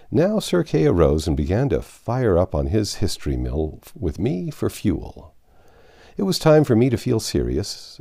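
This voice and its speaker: Gravelly low-voiced male storyteller. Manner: expressive storytelling with occasional slips. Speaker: audiobook narrator. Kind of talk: reading a classic novel aloud.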